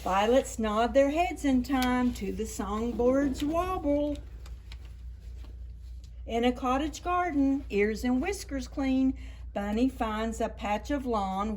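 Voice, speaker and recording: Slow-paced woman reading to preschoolers. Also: fairly high pitch. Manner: expressive, deliberate, stretching and stressing key words. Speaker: children's librarian, storytime host. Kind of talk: read-aloud storytelling for preschoolers.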